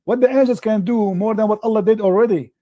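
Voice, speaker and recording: Insistent man with a dry, mellow voice. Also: high-pitched, fast talker, no echo, lo-fi sound. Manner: insistent, repeats points, nonstandard English grammar. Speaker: debate host. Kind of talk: argumentative religious debate.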